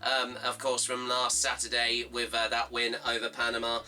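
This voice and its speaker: A slightly hoarse man hosting a fan football show, reading out results and previewing a match in a halting way, full of fillers and reading off his notes. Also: medium pitch, completely flat delivery, pushed and bright, soft background music.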